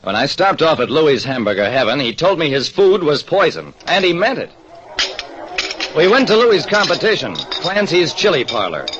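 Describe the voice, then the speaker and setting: Animated American radio detective. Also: male voice, a little high, normal speed. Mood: wry. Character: wry, steady male private detective narrating his case. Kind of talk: 1940s radio detective narration. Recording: dull, clearly noisy old radio recording.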